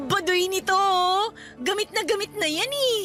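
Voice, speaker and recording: A shy, secretive young student girlfriend in a radio play with a high voice. She dodges questions and playfully scolds her boyfriend, with soft music in the background. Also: exaggerated voice. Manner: flustered and evasive, with playful scolding. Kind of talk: radio drama acting.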